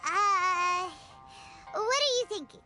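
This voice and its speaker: A woman voicing a small, chirpy, earnest childlike fairy companion in a video game, with music behind her. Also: slow. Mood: curious. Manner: squeaky, speaks of self in third person. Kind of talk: video game character acting.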